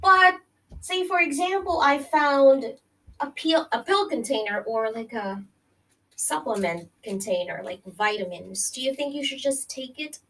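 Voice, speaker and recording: A woman with a fairly high voice leads a children's read-along lesson at a very slow pace. She speaks straight to her young listeners, pausing to explain and check in. The recording places her a little away from the microphone. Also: a bit bright, recorded from a distance, poor audio. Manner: addresses young listeners directly with friendly check-ins like "okay?" and "right?". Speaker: teacher reading aloud to children.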